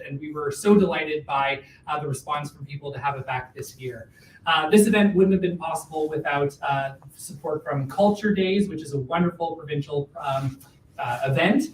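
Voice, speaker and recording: A man giving a live welcome speech as host of a theatre event. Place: indoor venue with in-person audience. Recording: echoey room, distant mic, faint rumbling noise.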